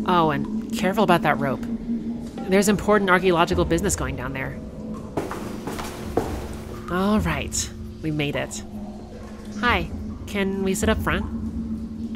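A performer voicing a cheerful, affectionate girlfriend on a theme park date, speaking gently and unhurriedly in a one-sided ASMR roleplay. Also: medium pitch, lightly acted. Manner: gentle, unhurried, softly conversational.

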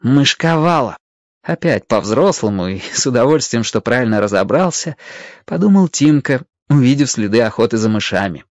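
A narrator reading a radio-play story in an expressive, scripted storytelling style. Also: mid-range voice, a little fast, no echo.

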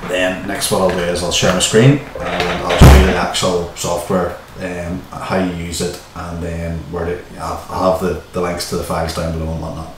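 Deep-voiced man in echoey room. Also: little pitch movement, normal speed, hardly any pauses. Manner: informal, plain-spoken. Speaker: host of a how-to video. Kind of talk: casual tutorial explanation. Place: small bare-walled room indoors. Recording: echoey small room, distant mic, faint noise.

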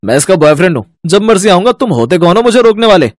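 A man's voice: sits high, sing-song, quick pace, no room sound, clean studio sound, voice pushed up. A man voicing a thuggish, defiant young boyfriend in a cartoon, contemptuous and brash. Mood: insolent.

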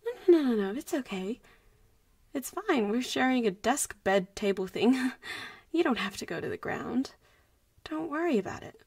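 A young woman plays a popular vampire schoolgirl in an audio roleplay. Her voice is fairly high and breathy, often sinking toward a whisper, recorded close and dry. She talks straight to the listener in a playful, teasing, protective way. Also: animated, normal speed, a little mellow, no echo. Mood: playful, flustered. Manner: playful, giggly, intimate.

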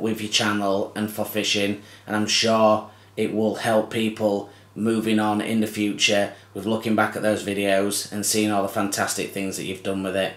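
Man, low flat voice, echoey room. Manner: halting, many restarts and ums. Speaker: fishing channel host. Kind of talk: heartfelt tribute to camera. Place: small indoor room with curtains. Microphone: camera close to face.

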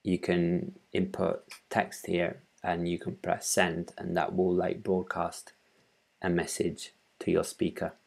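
A man in his thirties giving an informal step-by-step software tutorial, haltingly, thinking aloud as he works. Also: low voice, completely flat delivery, gravelly. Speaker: tutorial presenter. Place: small room at home, indoors.

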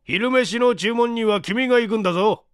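A man's voice: very high-pitched, normal speed, clean tone, no echo, studio quality, pitched above the performer's own voice. A man voicing an irascible, bossy old scientist who barks in old-fashioned elderly speech in an audiobook. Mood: irritated, barking. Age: older.

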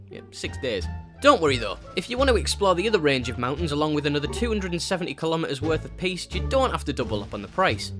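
A young man from northeast England with a northeast English accent, narrating a travel vlog voice-over with cheeky, jokey asides. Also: a little high. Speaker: travel vlogger. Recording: voice-over with clear music bed.

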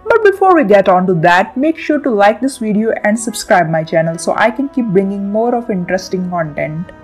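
A woman hosting a science channel, reading a scripted explainer in a lively, emphatic way. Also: medium pitch, a little fast, faint music behind. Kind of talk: scripted educational narration. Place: voice-over with soft background music.

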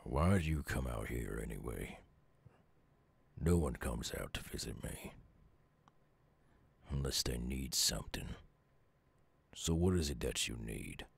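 Gruff tired southern us werewolf for asmr, super low and slow, husky gravelly drawl, guarded loner. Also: male voice, animated, heavily acted. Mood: suspicious. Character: gruff wounded male werewolf outcast, weary and guarded. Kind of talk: slow ASMR audio roleplay.

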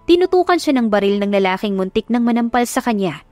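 A woman's voice: a little high, animated, quick pace, darkish tone, no echo, faint music behind. A woman reading a dramatic scripted love story as an audiobook narrator.

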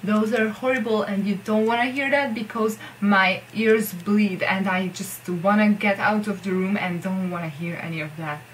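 A woman's voice: medium pitch, fairly even intonation, normal speed, few pauses, a little hiss or hum, rough recording. A young Hungarian woman with a Hungarian accent, speaking English as a second language and chatting casually to camera in her bedroom, rambling with many filler words. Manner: rambling, lots of filler likes, self-deprecating. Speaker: vlogger. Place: bedroom with posters.

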